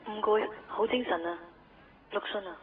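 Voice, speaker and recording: A woman's voice: mid-range voice, moderate pace, close to an everyday voice. A woman acting a caring young sweetheart in a radio drama. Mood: gentle, caring. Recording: thin phone-like sound, distant, faint noise.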